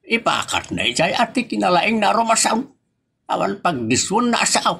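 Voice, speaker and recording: A man's voice: sits high, big pitch swings, moderate pace, creaky, sharp tone, slightly rough, full character voice. A man voicing a grumbling middle-aged husband and father in a comedic audio drama, scolding and teasing his family.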